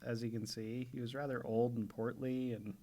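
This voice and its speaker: A man's voice: medium pitch, flat delivery, moderate pace, warm tone, lightly acted. A man playing a formal, brusque and reserved businessman in an amateur radio play. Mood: brusque, composed. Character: reserved, brusque gentleman shipping company owner. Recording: faintly noisy home recording.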